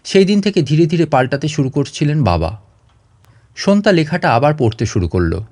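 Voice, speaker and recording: A man's voice: a little high, sing-song, moderate pace, darkish tone, no echo. A man reading a story aloud as a dramatic scripted audiobook narration.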